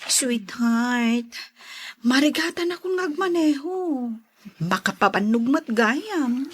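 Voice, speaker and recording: A woman's voice: high-pitched, animated, slow pace, husky, heavily acted. A woman with an Ilocano Filipino accent playing a pampered, coy married madam in an audio drama. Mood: coy, breezy. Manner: coy, breezy. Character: pampered, flirtatious married madam, breezy and self-indulgent.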